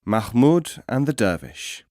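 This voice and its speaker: A man narrates scripted teaching stories in a measured, formal way. His voice sits at a middling male pitch, and the recording is close and very dry, as in an audiobook studio. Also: sing-song, warm tone, dry recording.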